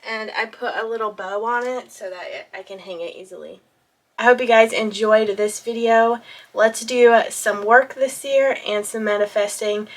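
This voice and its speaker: A woman in her late twenties talking casually to her viewers in a relaxed, chatty vlog from her home office. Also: on the high side, moderate pace, echoey room. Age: 20s, about to turn 30. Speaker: vlogger.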